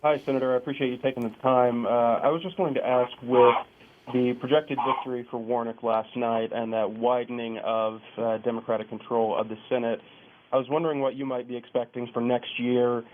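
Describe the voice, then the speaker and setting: A man's voice: mid-range voice, flat delivery, a little fast. A man, a reporter, politely asking a question on a press call. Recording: tinny phone line.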